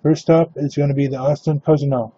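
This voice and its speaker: A man hosting a live trading card break, announcing cards and teams in a steady, matter-of-fact way. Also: a little high, brisk, husky, dry recording, muffled recording.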